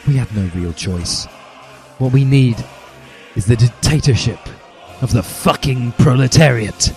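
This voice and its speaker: A man's voice: mid-range voice, unhurried, a little mellow, faint music behind. A man reading a parody story in a mock-earnest, emphatic way, occasionally tripping over lines. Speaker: podcast reader of parody fan fiction.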